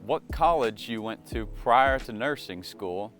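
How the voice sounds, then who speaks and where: A young man, a nursing student, calmly explaining the parts of his video project about his classmates. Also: medium pitch, unhurried, faint music behind. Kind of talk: narrated video project with interviews.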